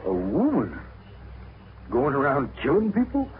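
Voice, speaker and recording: An actor playing a nervous, blustering frontier rancher in 1950s radio drama style. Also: male voice, mid-range voice, huge pitch swings, unhurried. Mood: alarmed. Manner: blustering, swoops up and down. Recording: tinny, hissy old radio broadcast.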